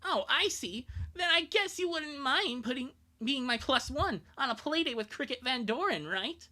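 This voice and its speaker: Male performer as pushy young cartoon girl, high swooping pitch, sly princess teasing, amateur dub. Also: animated, moderate pace, sharp tone, full character voice. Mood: wheedling.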